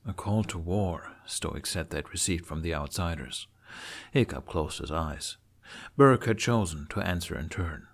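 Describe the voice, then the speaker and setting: A male narrator reads a story aloud. His voice sits low, and the delivery is scripted and expressive, in the manner of an audiobook. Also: measured pace, creaky. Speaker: podfic narrator.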